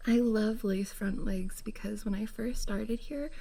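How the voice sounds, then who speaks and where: A woman playing a shy, bubbly young cosplayer and affectionate best friend in an intimate roleplay ASMR, soft, hesitant and giggly. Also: a little high, exaggerated voice. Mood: focused, giggly. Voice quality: soft, breathy, slightly husky. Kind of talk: F4M roleplay ASMR, intimate one-sided conversation.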